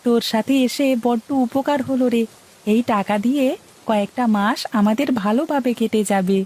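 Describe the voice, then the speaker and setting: A woman's voice: fairly high pitch, moderate pace, warm tone, lightly acted. A woman voicing an earnest, dutiful young village boy in a cartoon story.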